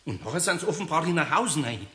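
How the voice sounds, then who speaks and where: A man's voice: a little high, sing-song, sharp tone. A man with a Bavarian accent playing a kindly, good-natured older master carpenter in a radio play, chuckling heartily. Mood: amused. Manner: chuckling, hearty, drawn-out exclamations. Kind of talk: 1960s German radio play acting.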